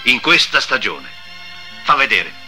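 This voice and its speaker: A man's voice: medium pitch, sing-song, unhurried, sharp tone, faint music behind, heavily acted. An actor playing a stern, suspicious father in a religious cartoon, with a theatrical delivery.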